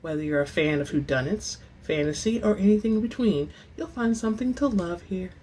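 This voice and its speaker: A woman hosting and reading book excerpts in a dramatic, expressive storytelling style, with informal asides. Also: fairly low pitch, a little fast, breathy edge. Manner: theatrical, expressive; informal, says y'all. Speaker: host and reader of book excerpts.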